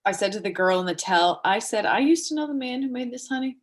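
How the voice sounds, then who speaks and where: A woman in a dramatic reading, playing a sharp, foul-mouthed, vulnerable woman with a halting, blunt delivery. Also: fairly high pitch, expressive. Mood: awkward, friendly. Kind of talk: dramatic reading of a stage play dialogue.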